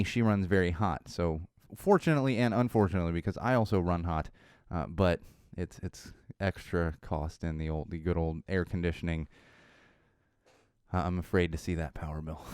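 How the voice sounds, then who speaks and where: A man in his thirties from Southern California, hosting a casual solo podcast, a bit hoarse, rambling and self-deprecating, with lots of fillers and restarts. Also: low-pitched, a little mellow, no echo, studio quality. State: slightly hoarse. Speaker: podcast host.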